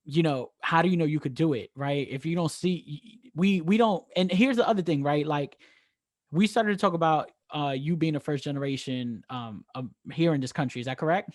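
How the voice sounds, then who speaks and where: A man's voice: fairly high pitch, a little fast, no echo, clean studio sound. A man with a Bronx accent co-hosting a podcast, enthusiastic and keeps checking in with "right?". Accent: New York, Bronx. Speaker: podcast co-host. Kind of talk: casual podcast interview.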